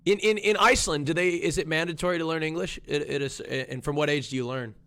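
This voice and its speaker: A man's voice: on the high side, quick pace, pushed and bright, clear, resonant tone, no echo. A man hosting an online talent show, passing the word from judge to judge in a cheerful way. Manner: upbeat, introduces judges in turn. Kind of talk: live-stream show hosting.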